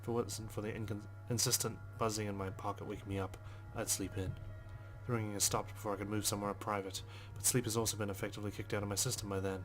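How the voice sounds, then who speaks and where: A man reads a visual novel's narration aloud in a dry, understated style. His voice is lowish and flat, moving quickly over faint background music. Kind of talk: reading narration aloud in a let's play.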